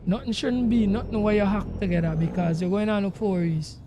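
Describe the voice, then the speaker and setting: A man with a Jamaican accent and a gravelly voice, chatting casually to livestream viewers in an emphatic, repetitive way. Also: fairly even intonation, a little fast. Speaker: game livestreamer. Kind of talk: casual livestream chat while driving a truck sim. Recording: noisy, engine rumble behind voice. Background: truck engine sounds from the game.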